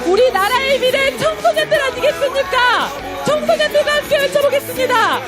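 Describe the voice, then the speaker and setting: A woman leading a street protest, delivering impassioned, declamatory speech and shouted chants to the marchers. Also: very high-pitched, expressive, moderate pace, over music, crowd noise. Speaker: rally host leading chants. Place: outdoor street march beside a park.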